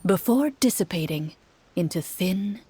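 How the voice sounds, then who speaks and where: A woman playing a composed, reserved young swordswoman in a video game, narrating in a measured, formal, calm way as game master. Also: fairly low pitch, slightly rough. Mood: dramatic narration. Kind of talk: video game character acting, storytelling.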